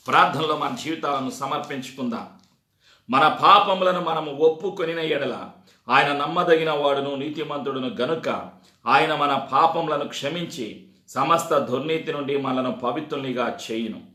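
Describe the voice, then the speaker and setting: Male preacher, fairly high pitch, sharp and forceful tone, exhorting delivery, echoey recording. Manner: exhorting, repetitive phrasing with rhetorical questions. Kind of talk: sermon to a congregation. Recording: echoey, reverberant sound.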